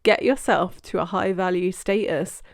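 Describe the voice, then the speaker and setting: A woman's voice: on the low side, normal speed, gravelly, no room sound, clean studio sound. A woman in her forties from Britain, hosting a reaction video and giving blunt, opinionated commentary with the odd swear. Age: 40s. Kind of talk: casual commentary over clips.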